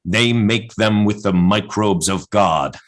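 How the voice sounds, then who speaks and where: A man performs a raving male poet-prophet in a radio play. The voice sits low and declaims deliberately. He is defiant and blasphemous, solemnly insisting on his obsessive visions. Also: crowd noise. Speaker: actor in an adapted radio play. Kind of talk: theatrical radio-play monologue.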